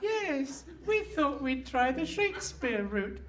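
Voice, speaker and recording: A woman's voice: high voice, big pitch swings, takes it slowly, full character voice. A woman playing a dithery, confused comic character in a live radio play. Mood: flustered. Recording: live hall with audience noise.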